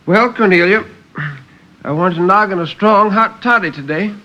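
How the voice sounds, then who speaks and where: A man's voice: high voice, normal speed, bright, forceful tone, voice pushed up. A male reader voicing a querulous, proud, bedridden eighty-year-old grandmother with a fretful, complaining manner. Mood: fretful, demanding. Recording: dull vintage 1950s radio recording.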